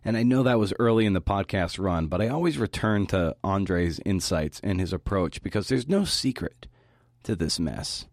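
A man's voice: sits low, lively intonation, normal speed, darkish tone, no echo, studio quality. A man hosting a podcast, delivering a prepared talk. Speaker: podcast host giving a talk. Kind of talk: prepared talk with interview clips.

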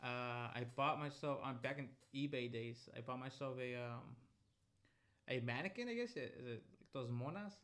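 A man's voice: medium pitch, normal speed, fairly bright tone. A man, co-hosting a podcast in a laid-back, slangy, rambling chat. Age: 20s. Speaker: podcast co-host. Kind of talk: casual chat.

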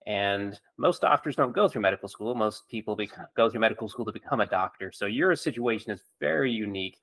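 A man's voice: on the low side, expressive, fairly quick, a bit bright. A man hosting a podcast interview, a surgeon with a friendly, encouraging and jokey manner. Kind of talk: conversational interview.

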